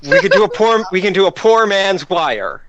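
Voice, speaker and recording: A man's voice: high-pitched, sing-song, normal speed, fairly bright tone. A man talking casually as a player in a group tabletop game. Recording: muffled lo-fi mic, music behind.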